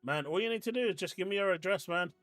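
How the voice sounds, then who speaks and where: English male streamer with a high voice, incredulous and ranting at viewers, faint music playing under him. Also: fast talker, fairly bright tone, no echo. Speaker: streamer host reading live chat. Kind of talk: casual live-stream chat. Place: home desk setup.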